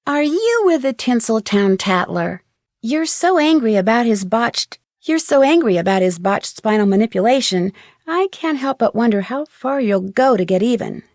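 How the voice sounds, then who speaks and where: A woman's voice: fairly high pitch, darkish tone, no room sound, studio quality. An actress playing a polite, determined young woman detective in a video game, speaking in an earnest way. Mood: hesitant, puzzled, then probing. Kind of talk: acted video game dialogue lines.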